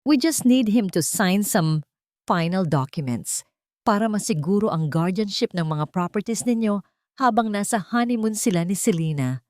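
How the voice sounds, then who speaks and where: A woman narrator plays a scheming old family patriarch in an audio romance drama. He is measured and patronizing, slipping English into his talk, with a bright, close and dry sound. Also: mid-range voice, expressive, dry recording, close to an everyday voice. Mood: falsely pleasant. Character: menacing, condescending old patriarch, smooth manipulator.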